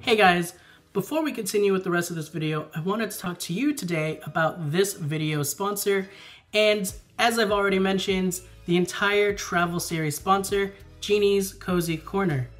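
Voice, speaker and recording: A man's voice: high-pitched, moderate pace, fairly bright tone, soft background music. A young man chatting casually to his viewers in a travel vlog, giggly and direct, filmed at home by a window. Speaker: vlogger.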